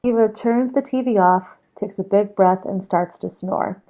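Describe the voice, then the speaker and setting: A woman's voice: mid-range voice, moderate pace, mellow, dull audio. A woman narrating scripted stage directions for a radio play.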